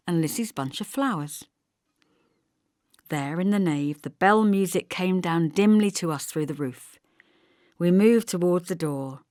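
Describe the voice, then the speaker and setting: Female audiobook narrator, literary fiction. Lower register, measured but expressive delivery, close dry sound. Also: dry recording.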